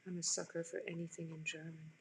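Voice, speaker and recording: A woman's voice: deep voice, flat delivery, fast, slight background noise. Chatty woman hosting a live drawing stream. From France. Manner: chatty, answers viewer comments. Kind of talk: casual chat while drawing. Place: home room, indoors.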